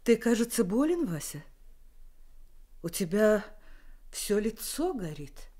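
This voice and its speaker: Mellow, gentle genteel mother. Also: medium pitch, sing-song, slow. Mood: tender concern. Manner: gentle, solicitous. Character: tender, genteel mother of a little boy. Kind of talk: Soviet radio play acting.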